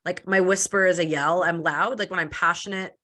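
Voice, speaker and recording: A woman from Canada, a podcast guest, talking in a loud, deep voice with lots of filler "likes" and quick restarts. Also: steady tone. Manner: loud and deep voice by her own account. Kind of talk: casual interview chat.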